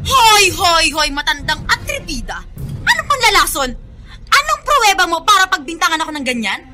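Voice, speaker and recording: A haughty young Filipino heiress, extremely high and swooping in pitch. She protests with shrieking indignation and insolence in radio-melodrama style, over loud music. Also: sing-song, normal speed. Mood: startled, insolent. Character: haughty, defiant young heiress woman under accusation.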